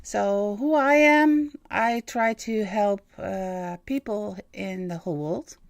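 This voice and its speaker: A woman in her fifties with a Dutch accent, hosting a livestream in halting English and pausing often to search for words. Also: medium pitch, gravelly, no echo, clean studio sound. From Netherlands. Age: 50s. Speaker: livestream host and medium. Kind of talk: hesitant livestream monologue.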